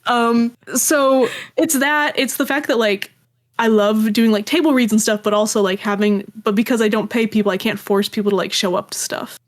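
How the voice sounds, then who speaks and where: A woman chatting casually as an interview guest, talking in a rambling, animated way. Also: a little high, moderate pace. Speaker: guest, audio drama creator.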